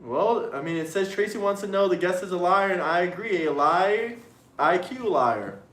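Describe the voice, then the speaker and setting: A man hosting a heated casual debate with a high-pitched voice, teasing and reading out viewer messages. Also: expressive, moderate pace, fairly bright tone, echoey room. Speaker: show host.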